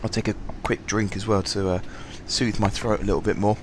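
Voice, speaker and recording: A man with a sore throat, slightly husky, casually commenting on a game through a headset, rambling and apologetic with many ums. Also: fairly low pitch, little pitch movement, a little fast, noisy background. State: sore throat, fighting coughs. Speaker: gaming channel host. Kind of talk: casual let's-play commentary.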